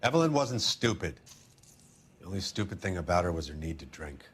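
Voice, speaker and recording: A man's voice: low voice, sing-song, fairly quick, fairly bright tone, slightly rough, full character voice. An American actor playing a frank, resigned liquor store man in a video game, speaking matter-of-factly. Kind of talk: video game dramatic acting.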